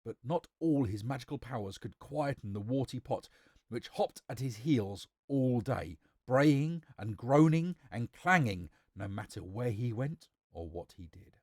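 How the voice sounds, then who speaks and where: Male storybook narrator, theatrical delivery, very lively pitch movement, dry close recording. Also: measured pace, no echo. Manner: theatrical, emphatic.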